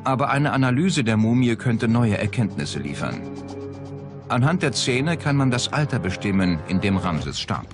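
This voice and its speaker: A man's voice: mid-range voice, very animated, normal speed. A man narrating a documentary from a script. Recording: music behind the voice. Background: prominent background music.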